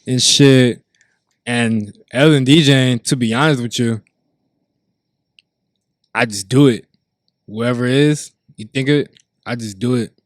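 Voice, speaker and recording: A man's voice: medium pitch, a little slow, long pauses, dry recording, studio quality. A man guest who DJs, talking casually on a podcast in an emphatic, profane, repetitive way.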